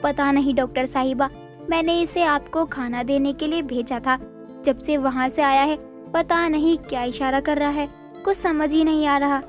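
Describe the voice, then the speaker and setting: Lady doing a worried village wife in a cartoon story, high voice, respectful, music behind. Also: steady tone, normal speed, lightly acted. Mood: puzzled. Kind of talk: animated Hindi story dialogue.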